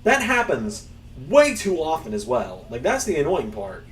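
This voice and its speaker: A man's voice: a little high, wildly swooping pitch, moderate pace, a bit bright. Rambling male gaming streamer, slightly distant mic. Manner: rambling, self-mocking, swears. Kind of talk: casual live-stream chat while playing.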